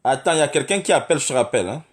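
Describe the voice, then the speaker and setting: A man's voice: medium pitch, expressive, racing. A man with a Gabonese accent hosting a live call-in political show, blunt and challenging, often pushing with rhetorical questions. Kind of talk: casual political debate, taking live calls.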